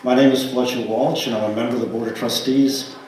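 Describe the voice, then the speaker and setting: An older man reading a formal, measured welcome to a congregation as a church trustee. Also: medium pitch, fairly even intonation, darkish tone. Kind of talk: scripted service welcome and announcements. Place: small church sanctuary, indoors. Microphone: pulpit microphone, picked up by distant camera. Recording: echoey church hall, distant mic, faint noise.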